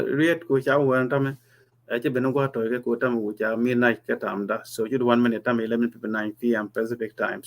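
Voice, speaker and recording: A man hosting a casual discussion, talking haltingly with many fillers and restarts. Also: mid-range voice, vocal fry. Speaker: host. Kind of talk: casual discussion mixing languages.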